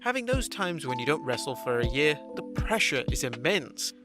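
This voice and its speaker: A man's voice: on the high side, sing-song, moderate pace. A man narrating scripted wrestling news with casual asides. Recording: voice-over with loud background music.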